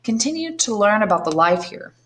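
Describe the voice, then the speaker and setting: A woman reading aloud, playing a calm noble man who speaks in a measured, earnest way. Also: mid-range voice, pitched above the performer's own voice. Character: calm, noble older man, tender and commanding.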